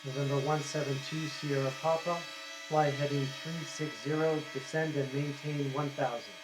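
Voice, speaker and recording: A man's voice: fairly high pitch, steady tone, moderate pace. Man presenting over background noise. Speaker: presenter demonstrating a homemade drone project. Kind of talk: explanatory demo narration with radio-style instructions.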